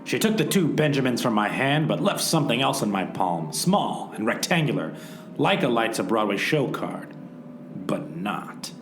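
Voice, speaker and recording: Guy doing a noir radio detective, broody and theatrical, lively swooping pitch, echoey room. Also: moderate pace. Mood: dramatic brooding. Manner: melodramatic, wry. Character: hard-boiled male private eye, theatrically brooding. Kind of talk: 1940s noir radio play narration and dialogue. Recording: roomy echo, faint music bed. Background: faint music.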